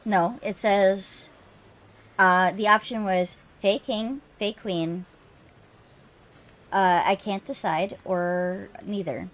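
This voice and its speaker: A woman streamer talks casually as she hosts a game readthrough. Her throat is a little phlegmy and she clears it now and then. Her pitch sits in an average range for a woman. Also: fairly even intonation, takes it slowly, long pauses. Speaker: streamer hosting a visual novel readthrough. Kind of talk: casual livestream chat.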